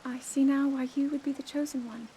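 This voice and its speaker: An actress voicing an earnest, thoughtful young princess and scholar in a game cutscene, speaking in a measured way. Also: female voice, high voice, level tone, mellow, a little hiss or hum, close to an everyday voice. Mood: pensive. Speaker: game character.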